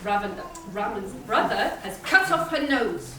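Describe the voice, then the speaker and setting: A woman's voice: medium pitch, big pitch swings, measured pace. Woman presenting in a very echoey, crowded hall. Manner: simple explanatory phrasing with questions to the audience. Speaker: puppeteer presenter. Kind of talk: live demonstration talk to a young audience. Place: large echoey indoor museum space. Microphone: distant camera or room microphone. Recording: distant mic, very echoey hall, audience noise. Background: audience chatter.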